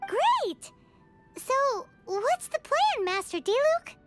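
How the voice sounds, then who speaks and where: A woman voicing a tiny, excitable childlike fairy companion in a video game, squeaky, naive and dramatic. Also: slow pace, exaggerated voice. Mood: excited, urgent. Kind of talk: video game character acting. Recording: studio voice over game music.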